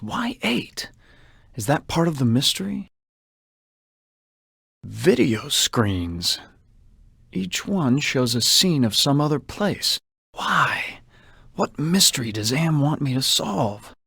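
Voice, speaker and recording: A suave, self-regarding con man in a video game. He has a mid-pitched voice and thinks aloud in a theatrical, brooding way, wary of what surrounds him. The sound is close and dry. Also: creaky, fairly bright tone, husky, dry recording, full character voice. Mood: curious, wondering. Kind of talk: video game character monologue.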